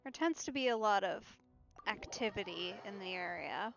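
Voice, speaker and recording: A woman's voice: medium pitch, expressive, moderate pace, vocal fry, fairly bright tone, muffled recording, faint music behind. A woman giving casual let's play commentary as she streams a game.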